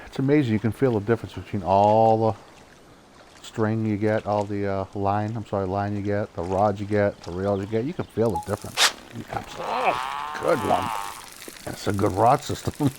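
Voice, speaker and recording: Casual young male streamer, Boston accent, lowish pitch, chatty and excitable, faint background hiss. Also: moderate pace. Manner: chatty, excitable at good catches, laughs often. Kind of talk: casual live gameplay commentary.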